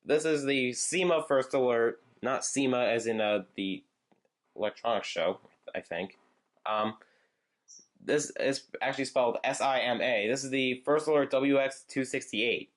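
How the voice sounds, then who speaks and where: A young man rambling casually as he shows off his gadgets, with frequent fillers, halting restarts and side remarks. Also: mid-range voice. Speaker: channel host showing weather radios. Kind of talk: casual show-and-tell chat.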